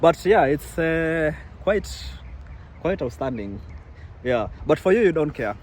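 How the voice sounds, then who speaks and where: A man's voice: a little high, very animated, unhurried, darkish tone, a little hiss or hum. A young man from Kenya hosting a casual chat in a probing, questioning manner. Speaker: vlog host, interviewer.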